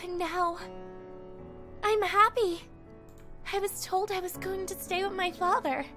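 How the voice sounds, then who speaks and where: Shy, earnest young woman for a visual novel: very high voice, tender and hesitant, light music behind. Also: steady tone, unhurried. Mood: hesitant, emotional.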